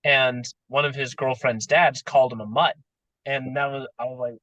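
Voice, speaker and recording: A man's voice: mid-range voice, fairly even intonation, moderate pace, sharp tone, no room sound. A young man chatting casually as a student in a discussion over a dull video call, hesitant and trailing off. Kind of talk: casual chat.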